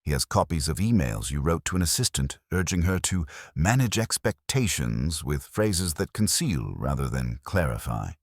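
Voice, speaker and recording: A man's voice: extremely low pitch, very animated, normal speed, hardly any pauses, husky, dry recording, studio quality, exaggerated voice. A man voicing a shrewd, commanding master detective in an audiobook, speaking formally, precisely and with authority. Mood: stern, methodical.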